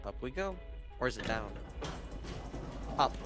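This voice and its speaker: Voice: mid-range voice, very animated, unhurried, music in the background. A co-op partner in a horror game, explaining the objectives and sometimes dropping to a whisper. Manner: explains the game, drops to a whisper when tense. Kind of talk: casual gameplay chat.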